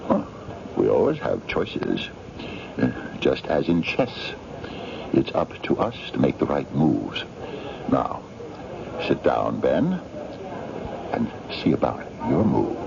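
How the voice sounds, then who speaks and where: Very deep, gravelly old chess schemer. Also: male voice, wildly swooping pitch, heavily acted. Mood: courteous, controlling. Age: older. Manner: unhurried, hesitant ums, politely sly. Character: crafty, courteous old chess master, quietly controlling. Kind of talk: 1970s radio drama acting. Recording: noisy, dull 1970s radio recording.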